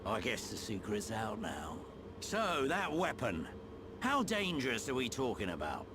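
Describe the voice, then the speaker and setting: An actor playing a gruff, roguish older British soldier in a video game, blunt and slangy with a wry manner. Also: male voice, medium pitch, wildly swooping pitch, measured pace, noisy background. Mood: wry, businesslike. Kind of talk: video game cutscene acting. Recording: loud orchestral game music behind.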